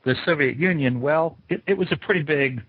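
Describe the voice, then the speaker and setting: Phone-ish dry sound, raspy american guy interviewing, rambly casual questions, thinking out loud. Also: a little high, fairly quick, dry recording, narrow, tinny audio. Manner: informal, rambling, thinks aloud. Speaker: interviewer, show host.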